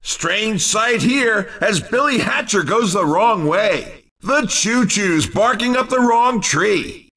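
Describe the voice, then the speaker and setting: Excitable, high-pitched male race commentator. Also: recorded from a distance, heavily acted. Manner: excitable, playful sports-announcer delivery. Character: excitable, wisecracking male racing game commentator. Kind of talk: video game racing commentary.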